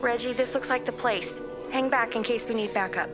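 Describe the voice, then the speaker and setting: A woman playing a wry, headstrong field agent in an audio drama, brisk and sardonic. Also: fairly high pitch, music in the background, natural voice. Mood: businesslike.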